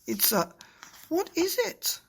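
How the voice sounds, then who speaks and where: A man voicing an excitable, eager little girl in a children's storybook, breathless and emphatic. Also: extremely high pitch, takes it slowly, vocal fry, pitched above the performer's own voice, full character voice. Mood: delighted, amazed.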